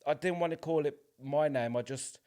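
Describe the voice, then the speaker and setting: A man's voice: fairly high pitch, moderate pace, dark tone. A man in his forties speaking as a podcast guest in reflective, casual interview chat, with a hesitant, informal manner. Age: 40s. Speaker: podcast guest, playwright and actor.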